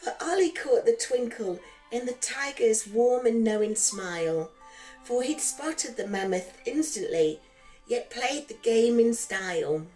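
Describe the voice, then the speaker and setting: A woman reads a rhyming bedtime story for children in a fairly high voice. Her delivery is very slow, gentle and singsong. Soft background music plays beneath her. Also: low-quality recording.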